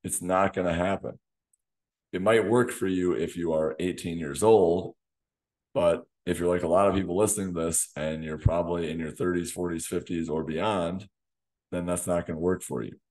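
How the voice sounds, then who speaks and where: A man in his thirties, a fitness coach hosting a solo podcast, slightly hoarse from losing his voice, talking in a direct coaching way in a small home gym on a desk mic. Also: deep voice, fairly even intonation, normal speed, darkish tone. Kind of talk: solo podcast talk to camera. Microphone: desk condenser mic in front of him.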